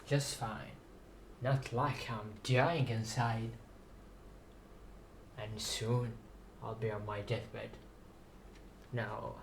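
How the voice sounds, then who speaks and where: Man, halting and unhurried, slightly ill with sore throat, rambling vlog delivery, mid-low pitch range not required, light noise behind. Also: fairly even intonation, gravelly. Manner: halting, repeats words. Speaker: writer introducing and reading own story. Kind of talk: slow rambling video monologue.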